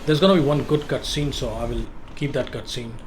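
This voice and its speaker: A man's voice: medium pitch, fairly even intonation, brisk, noisy background. A man hosting a casual game walkthrough, guiding the viewer step by step and often repeating himself. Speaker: gameplay walkthrough host.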